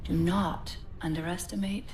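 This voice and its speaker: An actress playing a proud, defiant older female military scientist with measured, clipped, imperious delivery in a game cinematic. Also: on the low side, steady tone, darkish tone, slight background noise, lightly acted, low-quality recording. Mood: defiant, intense. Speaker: military scientist being interrogated. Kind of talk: dramatic game cinematic acting.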